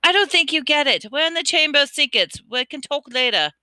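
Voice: animated, a little fast, bright, forceful tone, dry recording, heavily acted. A reader voicing a frantic, desperate schoolboy wizard, pleading in a raised voice. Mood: urgent. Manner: pleading, urgent, high-pitched.

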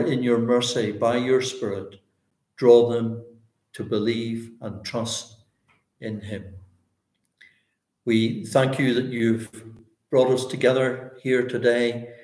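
Slow, solemn Northern Irish pastor in his sixties. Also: medium pitch, fairly even intonation, darkish tone. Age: 60s. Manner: solemn, earnest, halting phrase by phrase. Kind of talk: extempore public prayer, church service. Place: indoors, small bare-walled room. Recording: echoey room.

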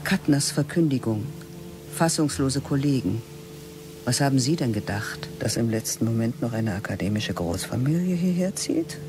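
A man narrating a radio drama in a hushed, often half-whispered, dry manner. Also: medium pitch, animated, moderate pace, over music.

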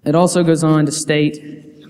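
A man's voice: on the high side, little pitch movement, measured pace, a little mellow. Young man preaching in an echoing church. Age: young adult. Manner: earnest, reads quotes aloud, frequent restarts. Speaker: youth preacher. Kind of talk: sermon with scripture reading. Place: church sanctuary. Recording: church hall reverb.

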